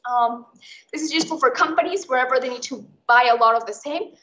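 Fast-talking young woman, high voice. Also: sharp tone, slight background noise. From Mexico. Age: young adult. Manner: chatty, explains with everyday examples. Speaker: student tutor leading an exam recap. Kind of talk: informal online lesson. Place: indoors, online video call. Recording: muffled, roomy online call recording.

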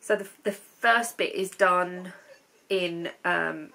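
A woman's voice: fairly low pitch, slow, vocal fry, pushed and bright, poor audio. Chatty woman with a stuffy cold. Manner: rambling, chatty, many ums. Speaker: knitting vlogger. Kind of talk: casual chat to camera. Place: living room at home, on a sofa.

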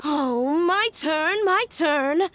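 Eager villager, high voice, slow delivery. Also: female voice, exaggerated voice. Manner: eager, excited.

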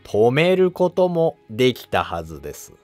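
A man's voice: mid-range voice, very animated, moderate pace, no echo, soft background music, close to an everyday voice. Man voicing a shrewd, probing Buddhist monk. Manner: polite, insinuating questions. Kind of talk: historical drama animation.

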